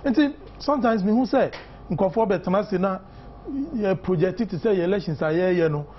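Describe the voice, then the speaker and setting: A man's voice: high-pitched, a little fast, muffled recording, slight background noise. A Ghanaian man in his fifties, a political guest on a morning newspaper review, mixing English and Twi with many fillers and emphatic points. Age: 50s.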